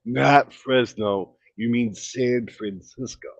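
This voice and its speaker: A man calls into a livestream and explains things haltingly, often restarting. His voice is mid-pitched, and the audio is dry and muffled like a cheap call connection. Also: vocal fry, dry recording. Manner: hesitant, explaining. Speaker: stream guest. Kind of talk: casual livestream chat.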